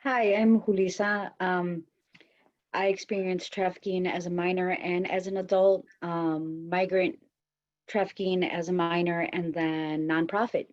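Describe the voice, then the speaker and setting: Woman, panelist, lowish pitch, monotone delivery, slightly lo-fi video call. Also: a little slow. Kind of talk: self-introduction on a panel. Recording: slightly dull online call audio.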